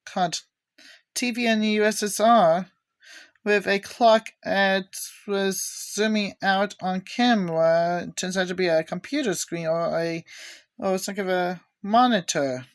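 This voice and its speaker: A woman giving a casual, unscripted show-and-tell with halting, rambling delivery. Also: medium pitch, no echo. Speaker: video maker showing cassette tapes.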